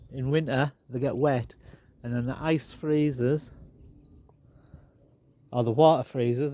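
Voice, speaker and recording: A man's voice: mid-range voice, mellow, slight background noise. A man with a bit of a blocked nose, chatting casually and rambling wryly as he walks around his garden. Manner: chatty, rambling, wry asides. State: a bit bunged up. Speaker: garden vlogger. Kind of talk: casual walk-and-talk garden tour. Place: outdoors in a garden. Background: birds and animals.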